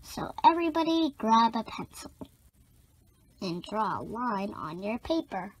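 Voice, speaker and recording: A woman's voice: a little high, big pitch swings, a little slow, slightly rough. A woman reading a children's comic book aloud, expressively acting out the dialogue. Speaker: storybook reader.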